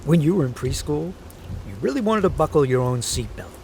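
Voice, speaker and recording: A man's voice: fairly high pitch, fairly quick, creaky. An actor playing a fussy, protective, stubborn aging father in an audio drama, rambling and nagging in a natural way. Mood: nagging, fond. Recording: steady rain noise behind the voice.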